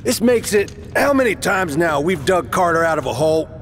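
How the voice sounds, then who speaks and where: Man playing a lively, easygoing soldier sidekick. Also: fairly high pitch, normal speed, soft background music, close to an everyday voice. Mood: casual. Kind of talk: video game cutscene acting.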